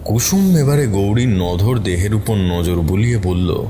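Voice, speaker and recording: A man's voice: on the low side, animated, unhurried, dark tone, slight background noise. A man reading a scripted horror story as its narrator.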